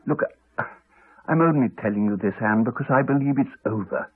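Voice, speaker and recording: An actor playing a haunted man in a 1980s radio drama, telling his story in a hesitant, halting, subdued way. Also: medium pitch, breathy edge, lightly acted. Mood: earnest, reassuring. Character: Alex, haunted man haltingly recounting a horror. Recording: muffled phone-like archive radio recording.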